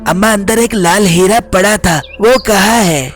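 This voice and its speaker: A woman's voice: medium pitch, moderate pace, over music, pitched below the performer's own voice, close to an everyday voice. A woman voicing a cheeky, insolent good-for-nothing young village man in an Urdu cartoon fairy tale. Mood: offhand. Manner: insolent, bargaining.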